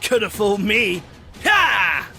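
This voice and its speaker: A man playing a cocky, mocking enemy pirate with a creaky voice in a hammy adventure drama, over music. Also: high voice, very animated, slow, raised voice, heavily acted. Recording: music behind the voice.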